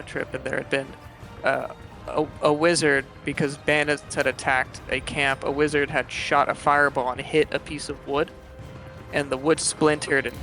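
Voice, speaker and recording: A man narrating a tabletop game as game master in a halting, explanatory way. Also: on the high side, gravelly, low-quality recording. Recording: music bed behind voice. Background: loud background music.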